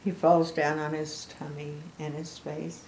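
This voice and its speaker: A woman's voice: sits low, flat delivery, unhurried, darkish tone, a little hiss or hum. An older woman reading a picture book aloud to small children, explaining the pictures as she goes. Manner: explains the pictures, addresses the listener. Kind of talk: expressive storybook read-aloud to small children.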